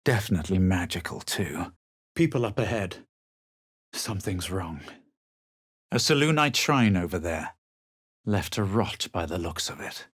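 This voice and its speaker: A man playing a haughty, self-assured young adventurer in a fantasy video game, speaking in a measured, theatrical way. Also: sits low, animated, breathy edge, dry recording, studio quality, heavily acted. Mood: wary, aloof. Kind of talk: dramatic video game voice lines and narration.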